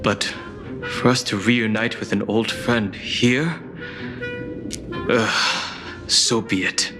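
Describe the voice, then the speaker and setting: An actor playing a composed young man who speaks in a calm, measured way, in a game. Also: fairly low pitch. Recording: game audio with music. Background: game music.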